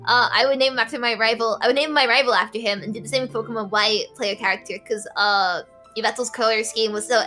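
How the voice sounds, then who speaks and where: A woman's voice: on the high side, steady tone, fast, sharp tone, soft background music. A woman guesting on a chat stream, reading viewer stories aloud with frequent fillers.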